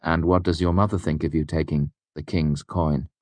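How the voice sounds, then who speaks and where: A man playing a calm, steady innkeeper. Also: a bass voice, dry recording. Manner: calm, measured, gently stern. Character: calm middle-aged male innkeeper, steady.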